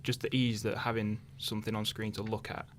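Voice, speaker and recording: A man's voice: lowish voice, flat delivery, brisk, a little hiss or hum. A young man chatting casually in a radio interview, thinking aloud hesitantly. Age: young adult. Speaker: interviewed radio drama writer.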